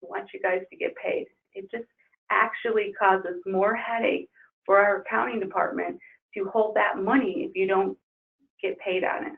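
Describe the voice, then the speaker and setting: A woman trainer losing her voice, leading an upbeat software webinar walkthrough. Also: mid-range voice, steady tone, normal speed, vocal fry. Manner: upbeat, enthusiastic promoting. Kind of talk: webinar screen-share walkthrough. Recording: tinny phone-like webinar audio.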